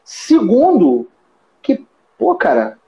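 A man's voice: high-pitched, huge pitch swings, slow, mellow. A Brazilian man hosting a live podcast over a video call, asking questions in a joking, slangy way. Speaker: podcast host. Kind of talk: casual interview chat. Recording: dull, slightly noisy live stream audio.

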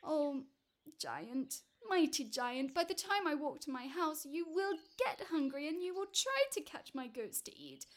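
A woman voicing a tiny, timid but crafty male farmer in a storybook tale, with a high trembling voice and hesitant, stammering pleas. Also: sing-song, unhurried. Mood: nervous, pleading.

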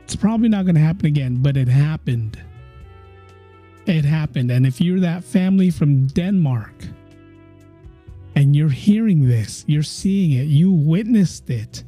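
A man's voice: a little high, animated, a little slow, mellow, faint music behind. A man hosting his own podcast, chatting casually and repeating phrases for emphasis. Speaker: podcast host.

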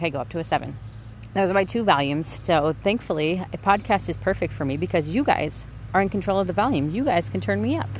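A woman in her twenties hosting her own podcast, talking in a candid, chatty way in a soft, quiet voice. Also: on the low side, slight background noise. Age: 20s. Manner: chatty and candid, with light swearing. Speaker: podcast host. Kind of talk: casual solo podcast monologue.

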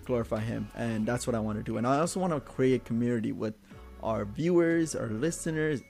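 A man's voice: medium pitch, a little fast, gravelly, a little mellow, soft background music. A man in his twenties hosting a casual podcast chat among friends. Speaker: podcast host.

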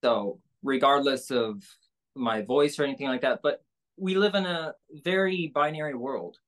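Woman with a low speaking voice, candid and relaxed. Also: moderate pace. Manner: relaxed, candid, many fillers and restarts. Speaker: singer-songwriter guest. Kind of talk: casual interview conversation.

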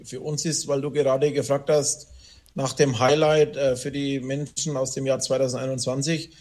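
A man's voice: medium pitch, level tone, moderate pace, a little mellow. A man from a band answering interview questions casually, rambling with many fillers. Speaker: band member.

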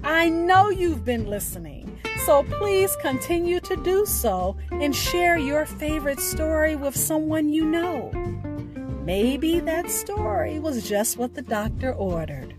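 Female podcast host, higher register, very unhurried, deliberate delivery of scripted announcements and verse, music underneath. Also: animated. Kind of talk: scripted podcast outro with spoken poetry.

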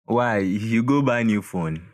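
A man's voice: lowish voice, slow. A man with a Ghanaian accent playing a breezy, boastful rich young friend in an audio drama, talking in a jokey, mocking way. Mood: amused, mocking.

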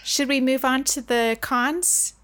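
A woman's voice: high-pitched, steady tone, takes it slowly, a bit bright. A woman co-hosting a book discussion, talking conversationally and searching for her words as she goes. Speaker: book discussion podcast co-host.

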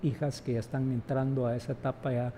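A man's voice: medium pitch, flat delivery, brisk, mellow, a little hiss or hum. A man, speaking emphatically as an interview guest and often adding rhetorical tag questions. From Guatemala. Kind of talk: reflective conversational interview.

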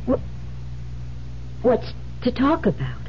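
A woman's voice: fairly high pitch, wildly swooping pitch, takes it slowly, exaggerated voice. An actress playing a frightened young bride in an old-time radio drama, hesitant and stammering as she speaks. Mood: guarded, uneasy. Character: frightened young bride Jane, uneasy about murderous husband. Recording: muffled vintage radio recording, humming hiss.

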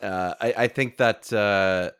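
A man's voice: on the low side, fairly even intonation, takes it slowly, fairly bright tone, clean tone, no room sound, clean studio sound. A man chatting casually on a podcast in a rambling, emphatic way, often repeating himself.

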